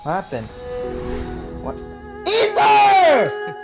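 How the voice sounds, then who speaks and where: Gamer reacting to a game, slangy n emotional, slow drawn-out bits, pitch all over, music behind. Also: wildly swooping pitch, gravelly. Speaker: video game streamer. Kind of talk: casual gameplay reaction commentary.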